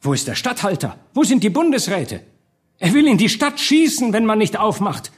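A man voicing rowdy, hot-headed townsmen who shout impatiently in a storybook tale of revolt. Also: high voice, sing-song, normal speed, pitched above the performer's own voice. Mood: excited, urgent.

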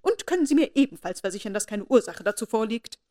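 A woman's voice: on the high side, sing-song, quick pace, warm tone. A woman voicing a haughty, imperious elderly noblewoman who speaks in a commanding, formal and indignant way.

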